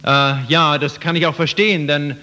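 A man's voice: a little high, fairly even intonation, moderate pace, bright, forceful tone. A man interpreting a sermon phrase by phrase for the listeners. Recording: muffled, reverberant hall.

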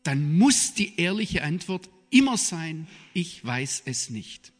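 A middle-aged man with a southwest German accent giving a public lecture in an emphatic, deliberate manner. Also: a little high, very animated. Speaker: guest speaker, journalist and theologian. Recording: reverberant hall, long echo.

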